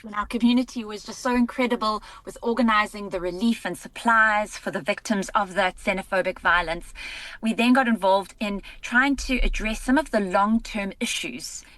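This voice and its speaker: A woman's voice: on the high side, fairly even intonation, moderate pace, fairly bright tone, no echo, poor audio. A woman in her thirties, born in Zimbabwe and raised in South Africa, giving upbeat, articulate interview answers. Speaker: guest, community leader.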